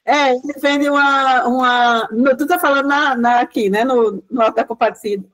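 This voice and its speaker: A woman's voice: sits high, steady tone, normal speed, a bit bright. A woman giving a rambling guest lecture over a video call, full of tag questions and restarts. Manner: rambling explanation, frequent "né" tags and restarts. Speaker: guest lecturer, project coordinator. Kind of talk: online class talk.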